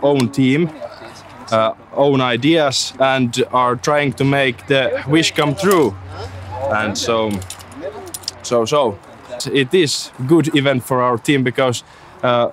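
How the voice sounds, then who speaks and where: A young man from Finland, a startup student, talking casually and haltingly with many fillers. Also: medium pitch. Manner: hesitant, many fillers, non-native phrasing. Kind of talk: casual interview. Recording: crowd noise around. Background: crowd noise.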